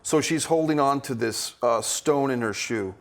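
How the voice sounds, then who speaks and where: A man speaking casually as a director with actors, agreeable and encouraging, with a halting way of working through his thoughts. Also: medium pitch.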